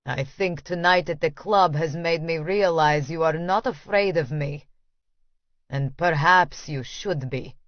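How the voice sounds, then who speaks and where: A woman voicing a menacing, coldly calm male killer in an audiobook, speaking deliberately and formally. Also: sits low, no echo, lo-fi sound, pitched below the performer's own voice. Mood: quietly menacing. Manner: deliberate, formal, coolly threatening.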